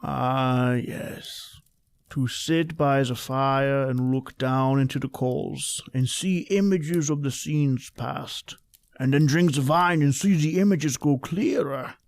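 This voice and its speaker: An actor playing a secretive old man with a German accent, halting and wistful, in an old-time radio horror drama. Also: mid-range voice, no echo. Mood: wistful, musing. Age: older. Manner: halting, wistful, punctuated by little questioning tags. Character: secretive, hospitable old European father living alone.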